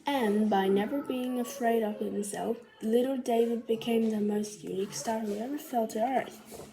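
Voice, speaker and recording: A woman reading a children's biography aloud like a storybook, carefully and a little haltingly. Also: a little high, steady tone, music in the background, low-quality recording. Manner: careful, halting reading with stumbles and self-corrections. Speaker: storybook reader.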